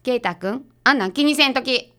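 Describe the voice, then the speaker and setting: Detective's assistant female actor: Kansai dialect, spirited and casual, high voice, radio drama. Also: fairly quick, sharp tone, smooth. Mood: encouraging. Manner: blunt, casual.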